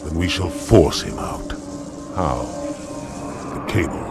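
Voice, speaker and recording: An actor playing a devout, resolute alien commander in a video game, solemn and commanding. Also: male voice, extremely low pitch, lively intonation, slow pace, gravelly, music in the background, full character voice. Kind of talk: video game cutscene acting.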